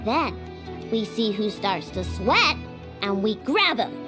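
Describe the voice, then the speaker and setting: A woman's voice: medium pitch, sing-song, slow pace. A woman voicing an earnest, sheltered young girl inventor who runs her own workshop in a video game, with game music behind. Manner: earnest, eager, a little naive. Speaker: workshop owner.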